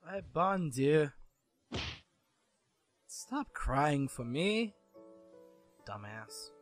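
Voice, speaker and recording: A man's voice: fairly high pitch, sing-song, very slow, soft background music, exaggerated voice. A man playing a sardonic half-French young guy. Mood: wry. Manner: sarcastic, mock-grand, drops French curses.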